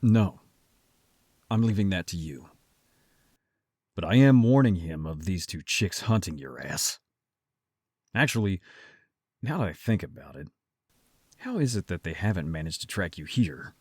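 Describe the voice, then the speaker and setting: A man playing a gruff, protective, teasing werewolf brother with a husky voice and a blunt, guarded way of talking, in an audio drama roleplay. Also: low voice, very animated, measured pace, pauses often, darkish tone, no echo, clean studio sound, exaggerated voice. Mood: guarded, serious.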